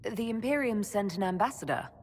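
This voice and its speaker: A woman playing a composed, diplomatic, gently wry leader in a fantasy video game, calm and direct in speech. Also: medium pitch, fairly quick, big-room sound, close to an everyday voice. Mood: calm, curious.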